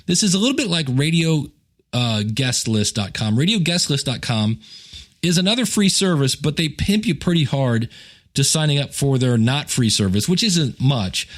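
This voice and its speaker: A man's voice: medium pitch, very animated, moderate pace. A man hosting a podcast in a casual, chatty way, rambling into joking, self-deprecating asides. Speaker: podcast host and podcasting teacher. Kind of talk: casual solo podcast talk with plugs and announcements.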